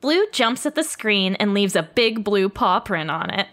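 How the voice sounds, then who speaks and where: A woman's voice: medium pitch, lively intonation, unhurried, fairly bright tone. A woman narrates the stage directions with a dry, wry touch. Her voice sits mid-range, but she moves it around a lot. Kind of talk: comedic table read of stage directions.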